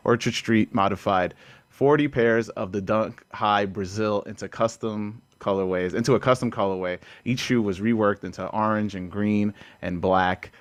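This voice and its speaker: A man's voice: fairly low pitch, no echo. A man hosting a podcast in casual chat, laid-back and stumbling as he reads aloud. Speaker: podcast host.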